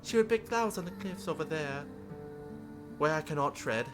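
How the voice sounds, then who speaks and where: A man voicing a proud, blunt female mermaid from a visual novel, speaking in formal, measured sentences. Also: on the high side, expressive, over music, close to an everyday voice. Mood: wistful, superior. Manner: formal, measured, lofty wording. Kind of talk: visual novel character read aloud.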